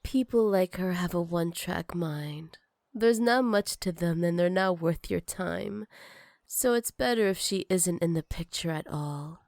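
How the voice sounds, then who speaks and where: A woman's voice: lowish voice, a little slow, vocal fry, a little mellow, dry recording, clean studio sound. A woman playing a jealous, flirty female villain in an intimate ASMR roleplay, speaking breathily and teasingly and often slipping into whispers. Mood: jealous, menacing.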